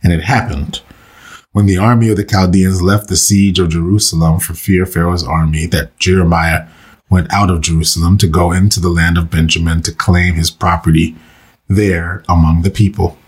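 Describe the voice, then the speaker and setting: A man leading a slow, meditative scripture reading and prayer for listeners, saying he is under the weather and losing his voice. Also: low-pitched, fairly even intonation, breathy edge. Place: recorded for online listeners.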